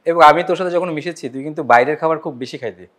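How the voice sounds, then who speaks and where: A man's voice: fairly high pitch, racing, fairly bright tone. A man with a Bangladeshi accent interviewing someone in casual, familiar chat, feeling his way through questions with restarts.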